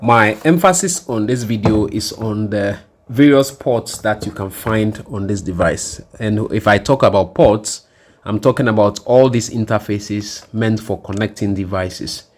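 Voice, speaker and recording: A man presenting an instructional hardware demonstration in a deliberate, explanatory way, often rephrasing his points. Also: lowish voice. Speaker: tutorial presenter.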